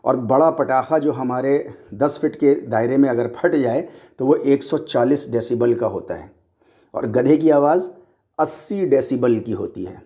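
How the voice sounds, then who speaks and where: A man's voice: mid-range voice, unhurried, dark tone. A man lecturing on religion in a didactic way, explaining step by step and repeating key points for emphasis.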